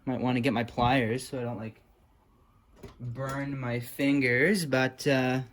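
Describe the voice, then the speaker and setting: A man's voice: mid-range voice, fairly even intonation, slow, a bit bright, a little hiss or hum. Casual man talking through a hands-on repair. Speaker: hobbyist restoring old electronics. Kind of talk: casual unscripted talk while working on a repair.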